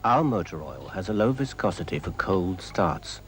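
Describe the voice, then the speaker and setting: A man doing an advert voice-over with dry, deadpan wit. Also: deep voice, normal speed. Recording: loud engine noise behind voice. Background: loud vehicle noise.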